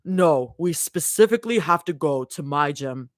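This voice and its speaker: A woman voicing a cocky, gym-loving young man in a gruff, lowered voice while reading a story aloud. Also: animated, moderate pace, no echo, studio quality. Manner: gruff low put-on voice.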